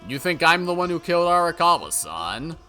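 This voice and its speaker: An unhinged male writer, acted out by a man, theatrical and volatile. His voice is sharp and forceful and swings wildly in pitch, with music playing behind it. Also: big pitch swings, fairly quick. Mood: smug, menacing. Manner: shouting, wailing, taunting, theatrical. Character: unhinged male freelance writer, shifting from panic to cold menace.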